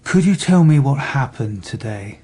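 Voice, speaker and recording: An actor playing a calm, dry senior detective in a radio drama, husky and understated. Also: male voice, medium pitch, moderate pace. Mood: calm, gently probing. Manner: understated, gently probing. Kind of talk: naturalistic radio drama acting.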